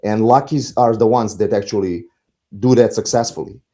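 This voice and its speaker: A middle-aged man with a Serbian accent, talking reflectively as an interview guest, halting and philosophical as he searches for words. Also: lowish voice, mellow. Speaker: podcast guest, musician.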